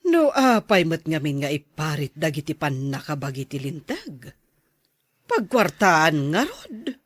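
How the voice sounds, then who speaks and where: A man plays a callous, greedy gangster in an audio drama. He talks slowly with plenty of pitch movement, in a very dry recording. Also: huge pitch swings, no echo, exaggerated voice. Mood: scheming. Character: greedy, callous member of a drug gang.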